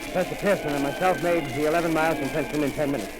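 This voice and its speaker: A man's voice: fairly high pitch, close to an everyday voice. A man playing an eager radio field reporter. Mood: eager, breathless. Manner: eager, halting live description with many fillers. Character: excited male radio field reporter at a crash site. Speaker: radio commentator. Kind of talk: 1930s radio drama acting. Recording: noisy old radio broadcast recording.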